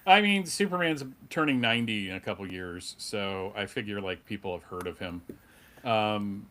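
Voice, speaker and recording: A middle-aged man speaking casually as a fan panelist, in a halting, rambling, wry way. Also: on the low side, lively intonation, fairly bright tone, a little hiss or hum.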